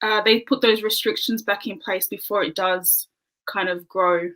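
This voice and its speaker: A woman's voice: mid-range voice, fairly even intonation, moderate pace, fairly bright tone. A woman, an early childhood educator, talking modestly and casually as a podcast guest over a video call. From Australia. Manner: modest, friendly, many "um"s. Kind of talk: casual interview chat.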